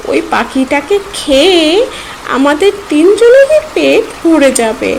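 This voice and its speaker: An eager, greedy male predator bird in a cartoon, squeaking in a very high voice. He talks slowly and drags out each word. Light rain patters in the background. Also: big pitch swings, creaky, a little hiss or hum, heavily acted, rough recording.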